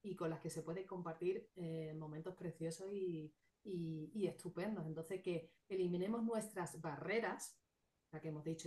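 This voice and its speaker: A woman psychologist and interview guest with a regional Spanish accent, calmly explaining and listing points. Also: fairly low pitch, rough recording. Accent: non-Madrid Spanish. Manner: calm, explanatory, lists things. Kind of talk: casual interview.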